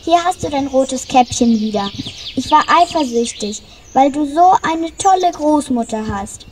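A woman's voice: high voice, expressive, a little slow, darkish tone, a little hiss or hum. A woman voicing a small, lonely, jealous dwarf in a children's radio play, grumbling and defensive. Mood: sheepish. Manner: grumbling, defensive, then sheepish.

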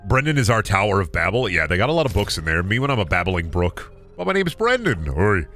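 A man's voice: on the low side, sing-song, fast talker, fairly bright tone, faint music behind. A man in his thirties streaming a game from home on a headset, chatting in a rambling, self-deprecating way and swearing at the game, his voice sick and close to cracking. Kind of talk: casual gameplay stream chat. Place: home room at a desk.

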